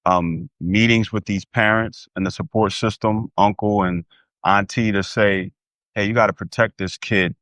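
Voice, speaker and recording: An American man with a low-pitched voice talks as an interview guest. He is conversational and emphatic, slipping into quoted speech to drive points home. The sound is close and dry. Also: fairly bright tone, no echo. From United States. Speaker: interview guest, former pro athlete. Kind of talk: animated personal conversation.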